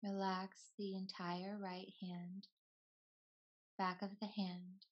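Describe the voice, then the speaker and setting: A woman leads a guided relaxation as a yoga teacher. Her delivery is soothing and very slow, in short phrases separated by long pauses. The pitch stays quite even, and the recording sounds slightly dull. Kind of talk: slow guided meditation narration.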